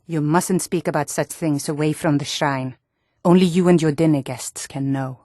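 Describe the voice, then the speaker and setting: A woman's voice: low-pitched, fairly even intonation, normal speed, mellow, no room sound. Hardy, blunt Nordic townswoman, dry sound. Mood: genteel, sly. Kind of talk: video game character voice acting.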